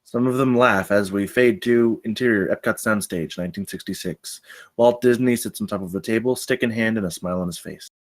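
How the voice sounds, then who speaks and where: Guy with a lowish voice reading screenplay action lines at a table read. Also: a little fast. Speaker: narrator reading stage directions. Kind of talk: scripted narration at a live table read.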